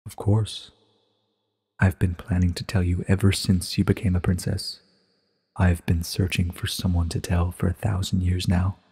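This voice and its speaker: Man as a low, husky, solemn pony ruler. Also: completely flat delivery, darkish tone, big-room sound, exaggerated voice. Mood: solemn, grave. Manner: measured, solemn, deliberate. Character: calm, grave, ancient female pony ruler, regal and guarded. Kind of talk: dramatic reading of a short story.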